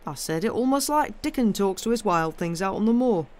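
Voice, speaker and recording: A narrator putting on a gruff old moor man. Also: medium pitch, lively intonation, fairly quick, close to an everyday voice. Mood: animated, frank. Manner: gruff, blunt, grumbling. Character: surly, blunt old Yorkshire gardener man.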